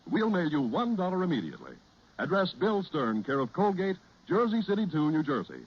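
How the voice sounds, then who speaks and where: An American commercial announcer with a lively, fairly high, male voice sells in 1940s radio style. The recording is dull and lo-fi. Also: brisk, breathy edge. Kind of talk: 1940s radio sales pitch.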